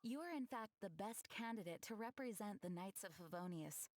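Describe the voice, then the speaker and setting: An actress playing a composed, dutiful knight leader in a video game, measured, formal and courteous. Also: female voice, medium pitch, lively intonation, a little mellow, natural voice. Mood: calm, reassuring.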